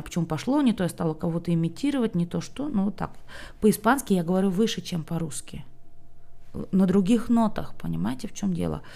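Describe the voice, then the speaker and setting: A woman's voice: lowish voice, vocal fry, warm tone. A woman language teacher talking casually to the camera, chatty and rambling, with lots of fillers and frequent checks that the listener is following.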